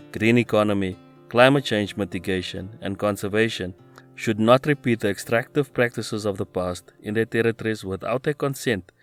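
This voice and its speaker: A man reading scripted documentary narration in a measured, even way. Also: lowish voice.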